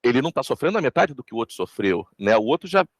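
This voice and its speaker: A man's voice: mid-range voice, very animated, racing, no echo, clean studio sound. A Brazilian man, a voice actor, answering an interview reflectively and searching for his words.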